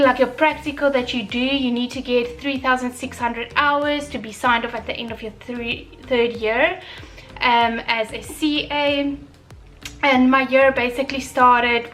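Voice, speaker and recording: A young woman from South Africa with an Afrikaans accent, chatting casually and reflectively on her own channel, often pausing with fillers and restarts. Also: sits high, a bit bright, rough recording. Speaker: vlogger. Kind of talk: casual chat.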